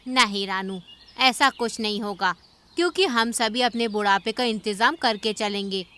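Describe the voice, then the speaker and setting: Woman as bright, sensible bird auntie. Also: fairly high pitch, normal speed. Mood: measured.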